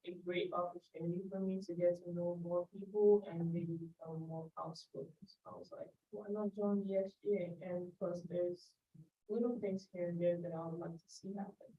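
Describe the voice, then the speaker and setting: Young female student, lowish voice, tentative, off-mic and dull. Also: flat delivery, normal speed, distant mic. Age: young adult. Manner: soft-spoken, hesitant, many ums. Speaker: student senate candidate.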